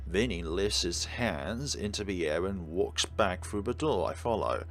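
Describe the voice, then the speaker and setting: A man with a low, very expressive voice hosts a playthrough of a visual novel. He narrates the text and adds wry asides over faint music. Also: measured pace. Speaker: let's play host. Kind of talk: reading a visual novel aloud with commentary. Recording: music behind the voice.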